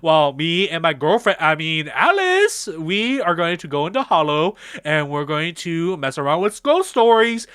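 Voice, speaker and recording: Chatty, teasing visual-novel girl, gushing and bossy, bright and dry man performing. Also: on the high side, very animated, normal speed, few pauses, clear, resonant tone, no room sound. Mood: cheerful, chatty. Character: excitable teasing young woman, chatty and bossy. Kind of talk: improvised visual novel parody.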